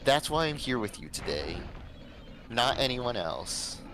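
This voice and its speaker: A gentle young woman played by a man, tender and reassuring in a storybook romance. The pitch moves in a lively way and sits a bit above the reader's deep voice, with clear noise behind it. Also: a little slow. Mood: earnest, affectionate. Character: Alice, gentle, earnest young woman in love.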